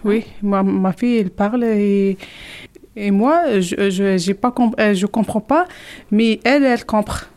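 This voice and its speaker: A young woman from Algeria, still learning the language, answers questions at a very slow pace. She speaks in short, simple phrases and pauses often to search for words. Her voice is mellow and soft-edged. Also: medium pitch. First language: not French. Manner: halting, simple French, many hesitations. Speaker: interviewee, French learner. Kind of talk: hesitant interview answers.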